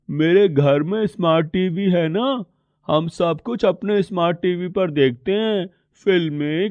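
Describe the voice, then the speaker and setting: A man's voice: high-pitched, dry recording, lo-fi sound. A man voicing a boastful, teasing schoolboy in a moral story, with a showy, bragging manner. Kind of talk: moral story character acting.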